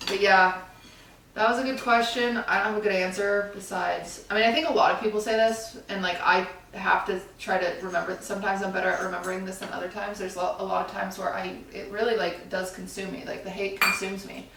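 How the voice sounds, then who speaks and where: A woman's voice: medium pitch, a little fast. A young woman streamer talking casually with her viewers in a rambling, candid way, reading chat questions aloud while she bakes at home. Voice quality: somewhat monotone. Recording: echoey room, mic at a distance, loud hiss.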